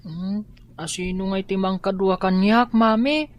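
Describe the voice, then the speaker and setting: A woman's voice: mid-range voice, fairly even intonation, measured pace, lo-fi sound, lightly acted. A woman playing a polite, understanding young schoolboy in an Ilocano family drama.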